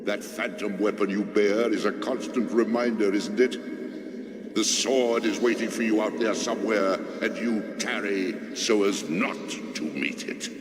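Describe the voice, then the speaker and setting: A man's voice: lowish voice, steady tone, measured pace, natural voice. An actor playing a vast, ancient, pitiless male god in a video game, sermonizing in a pompous, solemn manner. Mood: accusing. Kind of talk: dramatic video game acting. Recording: echoing cavernous reverb, clearly noisy ambience.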